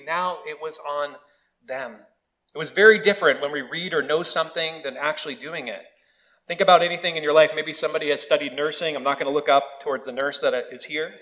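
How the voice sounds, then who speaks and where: A man's voice: a little high, rough recording. A man preaching a sermon in a church, telling stories with touches of humor. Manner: storytelling with humor, animated quoted voices.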